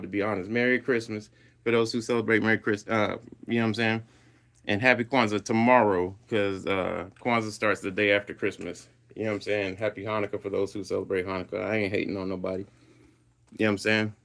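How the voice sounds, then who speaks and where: Casual streamer, male, Swahili first language, lowish voice, loose slangy delivery while he reads viewer messages. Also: a bit bright. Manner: laid-back, reads and answers live chat, loose slangy talk. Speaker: livestream host. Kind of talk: casual livestream chat.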